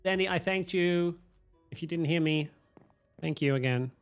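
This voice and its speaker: A man, streaming a game from home on a headset, hoarse from a cold, swearing and joking with chat. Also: on the high side, normal speed, soft background music. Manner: swearing, self-mocking, chatting to viewers. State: hoarse, losing his voice from a cold. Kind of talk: casual live-stream chatter while playing.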